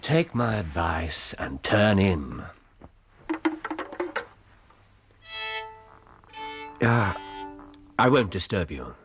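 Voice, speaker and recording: A clever excitable british gentleman sleuth, self-mocking, theatrical, very lively pitch, radio play. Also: slow pace, music in the background, exaggerated voice. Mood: subdued. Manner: theatrical, crisp storytelling, wry. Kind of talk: radio drama acting.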